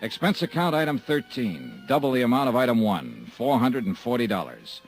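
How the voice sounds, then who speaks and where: A man's voice: mid-range voice, very animated, moderate pace, faint music behind. An actor playing a hard-boiled male insurance investigator who narrates a 1950s radio drama in a dry, tough manner. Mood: narrating. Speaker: narrator and lead.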